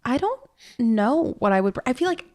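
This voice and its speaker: A woman with a Canadian accent chatting casually and telling stories in an animated way as a podcast co-host. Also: on the high side, moderate pace. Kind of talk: casual chat.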